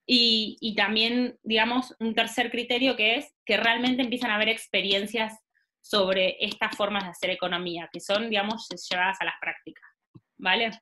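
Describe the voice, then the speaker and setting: Argentine woman on a laptop mic video call, bright voice, lots of um and restarts. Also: mid-range voice, steady tone, normal speed, slight background noise. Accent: Argentine Spanish. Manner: thinks aloud, many fillers and restarts. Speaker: workshop facilitator. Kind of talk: online workshop presentation.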